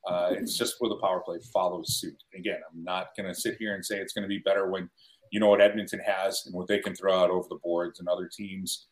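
A man's voice: low-pitched, little pitch movement, quick pace, poor audio. A middle-aged man in casual conversation as a guest sports broadcaster, telling stories with wry, self-deprecating humour. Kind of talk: casual chat, storytelling.

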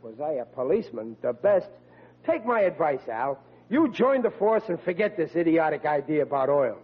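A boastful dopey radio comedy husband, folksy, kinda high husky voice, tinny old recording. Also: male voice, sing-song, normal speed. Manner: blustering, folksy, ungrammatical. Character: blustering, boastful, dim but good-hearted husband. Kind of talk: 1940s radio comedy acting.

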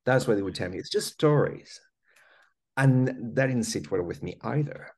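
A man's voice: medium pitch, lively intonation, normal speed, a little mellow, breathy edge. A man from France with a French accent, a podcast guest telling his life story in an earnest, conversational way. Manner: earnest, many small restarts and "you know".

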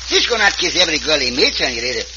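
An actor playing a good-natured, bumbling Mexican sidekick with a Mexican accent and childlike broken English in an old-time radio western. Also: male voice, fairly high pitch, sing-song, rapid-fire, lo-fi sound. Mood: cheerful. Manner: comic broken English, childlike, refers to himself by name. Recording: hissy, crackly vintage radio recording.

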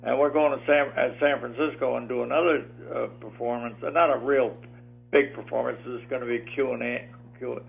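Gravelly-voiced man near ninety, rambling phone-in guest, tinny line. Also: medium pitch, level tone, brisk, soft background music. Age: 80s. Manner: rambling, repeats words, chatty. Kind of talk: casual phone interview. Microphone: telephone.

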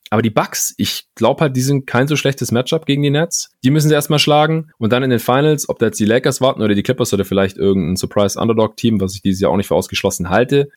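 A man's voice: on the low side, no room sound, clean studio sound. A young man hosting a casual basketball podcast, arguing his points in an opinionated, emphatic way. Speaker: podcast host.

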